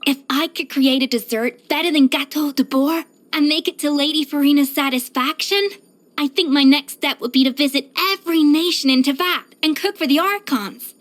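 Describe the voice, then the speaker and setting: An actress voicing a proud, exacting young pastry chef in a video game, poised, self-assured and precise in manner. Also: female voice, sits high, moderate pace, natural voice. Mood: poised, confident. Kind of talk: scripted video game character voice lines.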